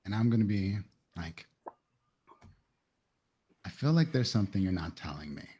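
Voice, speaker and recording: A man takes part in a tabletop roleplaying game. His voice is a little on the low side. He improvises as his character and talks through what he wants to do. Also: very animated, moderate pace, warm tone, husky.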